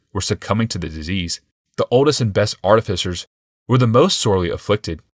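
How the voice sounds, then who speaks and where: A man with a low-pitched voice narrates a fantasy audiobook. The sound is dry and free of room reflections. His delivery is scripted and dramatic, shaped as storytelling. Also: a bit bright, no room sound.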